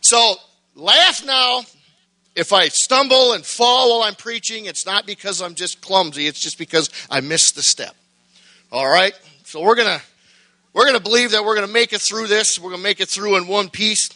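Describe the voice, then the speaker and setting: A middle-aged man preaching a sermon, folksy and joking at first, then building to shouted fervor. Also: high voice, normal speed.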